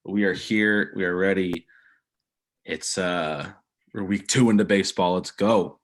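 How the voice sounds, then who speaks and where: Young man, lowish pitch, sports podcast co-host, upbeat storyteller. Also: fairly even intonation, unhurried. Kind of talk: casual sports chat.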